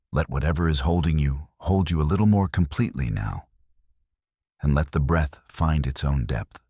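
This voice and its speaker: A man's voice: rumbling low, darkish tone, slightly rough, no room sound, studio quality. A man narrating a scripted sleep meditation in a contemplative, soothing manner.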